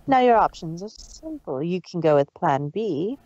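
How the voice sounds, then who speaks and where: A woman's voice: fairly low pitch, big pitch swings, moderate pace, a little mellow, no room sound, muffled recording. A woman voicing a cruel, arrogant big-sister mare villain in a dramatic story reading, taunting and condescending. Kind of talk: dramatic fan fiction reading.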